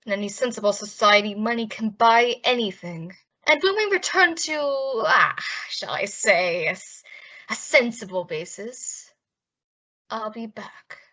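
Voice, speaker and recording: A woman's voice: fairly high pitch, sing-song, a little slow, bright, forceful tone, exaggerated voice. A woman playing a suave, menacing male crime boss with a formal, sardonic manner in an old-time radio drama. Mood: smooth menace. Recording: dull, muffled lo-fi audio.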